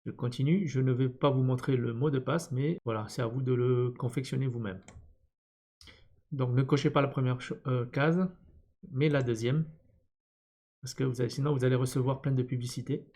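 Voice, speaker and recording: A man's voice: medium pitch, steady tone, moderate pace, a little mellow. A man presenting an unscripted step-by-step screen tutorial, thinking aloud hesitantly as he goes. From born in Vietnam. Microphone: computer screen-recording voice-over.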